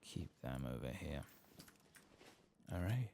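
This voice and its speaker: A soft-spoken man giving unhurried ASMR gameplay commentary, murmuring to himself and sometimes dropping toward a whisper, close to the microphone. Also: very deep voice, sing-song, creaky, a little hiss or hum. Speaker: gaming streamer.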